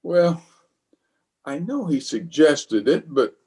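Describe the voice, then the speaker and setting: Man as sheepish middle-aged bachelor, flustered, rough husky tone, unhurried delivery, old-time radio comedy. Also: a little high, big pitch swings, darkish tone, full character voice. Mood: flustered, protesting. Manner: hemming, sheepish, exclamatory. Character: flustered, blustering middle-aged bachelor caught between two women.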